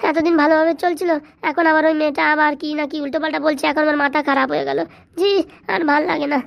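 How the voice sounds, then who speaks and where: A woman's voice: high-pitched, level tone, rapid-fire, gravelly, muffled recording. A woman voicing an anxious, nagging young village wife in a comedy cartoon, plaintive and worried. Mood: worried grumbling. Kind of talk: dubbed comedy cartoon dialogue.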